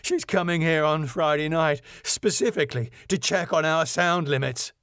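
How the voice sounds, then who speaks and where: A man's voice: on the high side, normal speed, clean tone, dry recording, studio quality, voice pushed up. A man voicing a raging, pompous middle-aged ballroom owner who rants in theatrical tirades. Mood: grim worry. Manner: furious, theatrical, shouting tirades.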